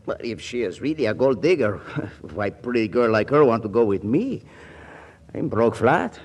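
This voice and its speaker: An actor playing a charming South American ladies' man with a Latin American accent and halting, broken English in a 1940s radio comedy. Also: mid-range voice, very animated, slight background noise, lightly acted. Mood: reluctant, bemused.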